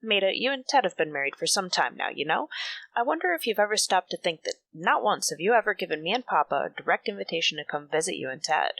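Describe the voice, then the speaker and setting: A woman plays a resentful younger woman in a radio soap. Her low voice swings widely in pitch and crackles with fry as she talks bluntly. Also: very animated, fast, a bit bright, no room sound, heavily acted. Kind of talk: radio soap drama acting.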